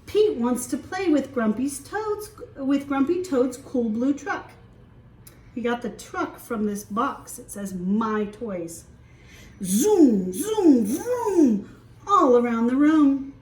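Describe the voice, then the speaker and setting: A woman storytime teacher reading aloud to preschoolers, expressive, with questions for the young listeners. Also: high-pitched, slow, reverberant, recorded from a distance.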